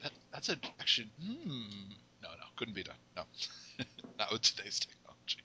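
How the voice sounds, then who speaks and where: A man's voice: medium pitch, wildly swooping pitch, normal speed, bright, forceful tone, a little hiss or hum. A man hosting a podcast interview, casual and playful, slipping jokes and silly asides into his questions. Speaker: podcast host.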